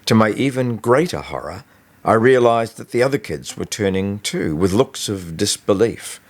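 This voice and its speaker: A man with a low-pitched voice reads his own story. He delivers it as an expressive audiobook narration, acting as storyteller. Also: normal speed. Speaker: author reading own novel.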